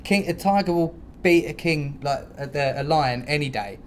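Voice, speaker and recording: A man hosting a casual podcast, telling stories in a slangy, sweary, animated way. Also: on the high side, normal speed, slight background noise. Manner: animated storyteller, sweary, slangy, mimics noises and dialogue. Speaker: podcast host.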